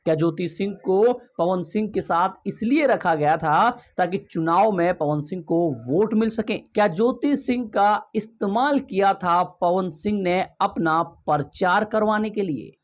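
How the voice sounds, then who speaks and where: A man's voice: high voice, measured pace, hardly any pauses. A man giving emphatic gossip commentary, asking rhetorical questions and addressing viewers directly. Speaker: video commentator.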